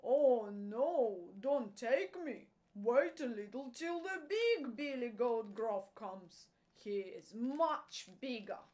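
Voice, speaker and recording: A woman's voice: fairly high pitch, animated, slow, few pauses, darkish tone. A woman voicing a middle-sized billy goat in a storybook tale, nervous and pleading. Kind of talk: storybook character voice.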